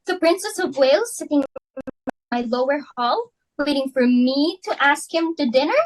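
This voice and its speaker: A woman reading the part of a fussy, high-strung society hostess in a student dramatic reading, with theatrical exclaiming and melodramatic swings. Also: animated, normal speed, dry recording, low-quality recording. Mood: flustered. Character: fussy society hostess, Mrs. Pringle, middle-aged woman. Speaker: student reader.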